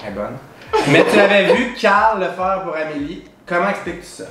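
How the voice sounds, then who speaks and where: A man's voice: high voice, sing-song, a little fast, a bit bright. A young man with a Quebec French accent hosting a casual interview, joking and laughing often. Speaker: show host. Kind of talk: casual interview, teasing questions. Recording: very echoey room with crowd noise.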